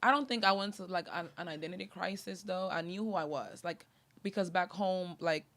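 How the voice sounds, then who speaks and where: Casting: woman from Honduras, podcast host, animated chatter, lots of "like," slightly low voice. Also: fairly quick. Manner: animated, full of fillers like "like" and "you know". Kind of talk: casual chat.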